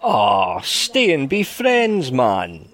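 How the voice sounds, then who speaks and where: A man puts on a coaxing, sly spirit of the sea in a storybook yarn. He wheedles in salty sailor talk, raised in pitch and very animated in tune. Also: extremely slow, vocal fry, exaggerated voice.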